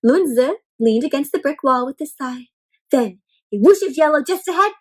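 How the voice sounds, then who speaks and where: High-pitched woman reading aloud to kids. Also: moderate pace. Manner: expressive, exclaiming, frequent questions to listeners. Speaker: children's read-aloud storyteller. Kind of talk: interactive picture-book read-aloud for young children.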